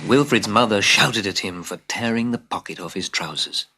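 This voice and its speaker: A man narrating a scripted children's story as a dramatic, expressive storyteller who drops into hushed asides. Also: fairly low pitch, moderate pace, breathy edge. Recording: hissy old cassette tape.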